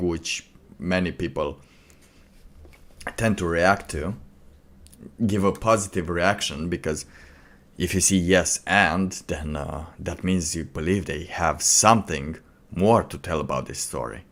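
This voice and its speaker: A man giving casual, off-the-cuff commentary as a streamer, in a halting, rambling way. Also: low-pitched. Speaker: streamer reacting to a video.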